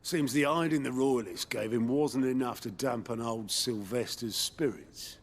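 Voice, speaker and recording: An actor playing a sardonic underworld informant in a video game, sly and colloquial, with a British accent and gravelly voice. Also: male voice, medium pitch, unhurried, slight background noise, natural voice. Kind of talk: video game cutscene acting.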